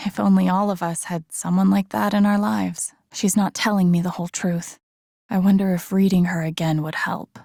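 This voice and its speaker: A woman playing an introspective, gently wry young woman in a video game, musing to herself in a naturalistic, low-key way. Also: little pitch movement, moderate pace, creaky, no room sound, studio quality. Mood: warm, musing. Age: young adult. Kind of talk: naturalistic game voice acting, inner-monologue remarks.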